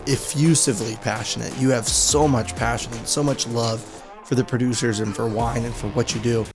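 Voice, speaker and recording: A man with a mid-pitched voice hosting a casual podcast interview. He speaks easily and loosely, filling his questions with ums and uhs. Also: brisk, music in the background. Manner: relaxed, friendly, with many fillers and backchannels. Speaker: podcast host.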